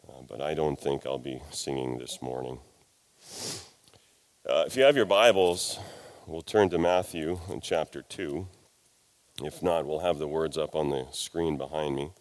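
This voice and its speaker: Man, middle-aged, very low voice, stuffy from a sinus cold, church sermon, gentle self-mocking humor. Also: unhurried. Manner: folksy, self-deprecating humor. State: congested from a cold and sinus infection. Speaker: pastor. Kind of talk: sermon with scripture reading.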